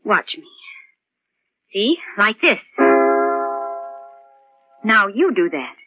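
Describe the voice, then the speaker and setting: A woman's voice: medium pitch, big pitch swings, drawn-out words, over loud music. An actress playing an anxious caretaker in a 1940s radio drama, pleading and insistent with the man she tends. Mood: coaxing, patient. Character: anxious, coaxing woman tending a disturbed man. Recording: dull vintage 1940s radio recording.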